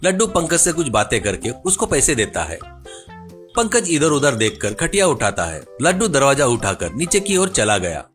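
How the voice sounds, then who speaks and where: A man's voice: medium pitch, very animated, moderate pace, fairly bright tone, soft background music. A man narrating a scripted moral story for a cartoon.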